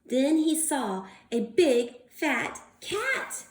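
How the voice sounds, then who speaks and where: A woman reading a picture book aloud to young children as a teacher, expressive and drawn-out, pausing to put questions to them. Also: sits high. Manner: animated, drawn-out delivery, prompting young listeners. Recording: roomy, echoing indoor sound.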